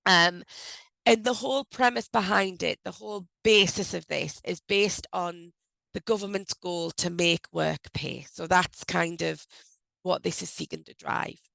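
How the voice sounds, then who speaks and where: A woman hosting an online HR briefing on a video call, speaking loosely with frequent ums and self-corrections, her voice croaky as she recovers from a cold. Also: on the low side, a little slow, fairly bright tone, dry recording, rough recording. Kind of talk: informal presentation on a video call. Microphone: video call microphone.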